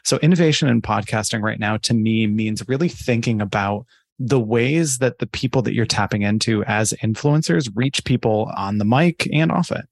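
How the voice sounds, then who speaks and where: A man's voice: lowish voice, moderate pace, vocal fry, dry recording, clean studio sound. A man speaking conversationally as an interviewed marketing expert, thinking aloud with emphasis. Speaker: podcast advertising expert being interviewed.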